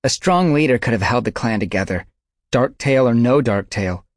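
A man's voice: mid-range voice, normal speed, no room sound, clean studio sound, pitched above the performer's own voice, natural voice. A man voicing a slim young she-cat who snarls and talks with sarcasm and fiery scorn. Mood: angry.

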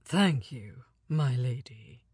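A woman's voice: very deep voice, animated, takes it slowly, husky, no echo, clean studio sound, pitched below the performer's own voice, full character voice. Woman doing a grave older male envoy. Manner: grave, hushed, formal. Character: lean older male French envoy, sorrowful and courteous.

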